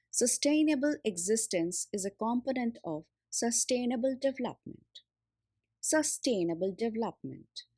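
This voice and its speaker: A woman reading a school textbook aloud in a measured, deliberate, instructional way. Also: medium pitch, dry recording, clean studio sound. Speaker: textbook reader.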